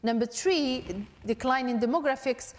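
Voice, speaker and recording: A woman from Morocco with a fairly high voice speaks on a panel, laying out points emphatically one by one. Her voice carries the long echo of a conference hall. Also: moderate pace. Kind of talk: unscripted panel discussion.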